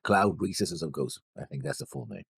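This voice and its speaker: A man co-hosting a reaction show in casual chat, halting and often trailing off. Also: deep voice, vocal fry, slightly rough, dry recording, studio quality. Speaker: co-host of anime reaction show.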